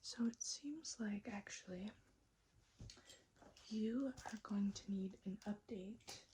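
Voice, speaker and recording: A woman whispers in a soft, breathy voice at a medium pitch, close to the microphone. She speaks as a gentle technician in an ASMR roleplay, unhurried and reassuring. The recording is clearly noisy, with small clicking sounds. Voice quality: breathy whisper, mixed with soft low-volume speech. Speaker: ASMR roleplay performer as a robot repair technician.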